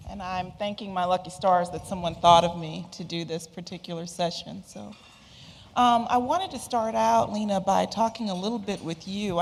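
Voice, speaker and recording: A woman reporter moderating a panel, asking her first question in a friendly, measured way. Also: fairly low pitch. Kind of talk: opening a panel interview. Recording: hall reverb with faint noise.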